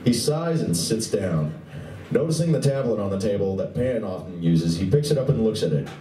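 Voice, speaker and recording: A man's voice: on the low side, animated, normal speed, warm tone. A man reading scripted narration for a live radio play. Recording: roomy, distant recording with faint noise.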